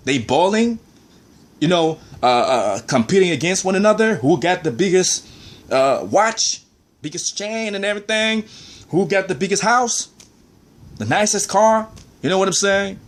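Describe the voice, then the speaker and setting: A man's voice: high-pitched, animated, moderate pace. A man ranting in a heated, preachy monologue, peppered with "man" and "I mean".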